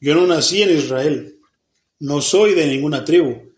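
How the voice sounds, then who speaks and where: A man's voice: fairly high pitch, measured pace. A Mexican man, a pastor with a Mexican Spanish accent, giving a Bible study sermon in a church hall. He keeps putting questions to the congregation and answering them himself. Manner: acts out the people he quotes, even mock babbling.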